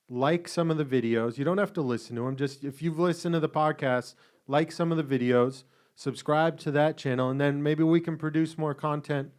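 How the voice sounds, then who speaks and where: A man's voice: mid-range voice, clean tone. A man co-hosting a casual podcast over a headset from a room at home, joking, laughing often and swearing. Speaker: podcast co-host. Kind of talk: casual chat. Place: home room, separate from co-host.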